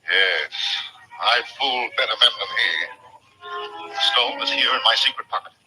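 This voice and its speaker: An actor playing a scheming, impatient aristocratic villain in an old-time radio drama, curt and superior. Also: male voice, mid-range voice, normal speed, natural voice, rough recording. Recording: old radio recording with music behind.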